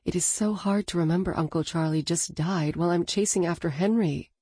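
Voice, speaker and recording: A woman narrator voicing a caring older sister and busy mother, speaking in a warm, practical way. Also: on the low side, brisk, slightly rough, no room sound, clean studio sound.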